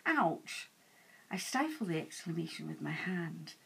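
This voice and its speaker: A woman author reading her own novel aloud in an animated, dramatic first-person storytelling style. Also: deep voice, unhurried, vocal fry, husky. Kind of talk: expressive audiobook-style first-person narration.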